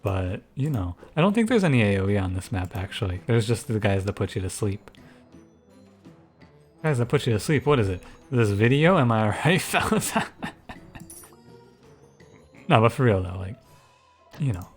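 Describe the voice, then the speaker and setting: A man with a soft, soothing voice giving relaxed, thinking-aloud commentary over a strategy game. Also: normal speed, long pauses, soft background music. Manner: low-key, musing, thinks aloud with frequent restarts. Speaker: gaming YouTuber and streamer. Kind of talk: casual gameplay commentary.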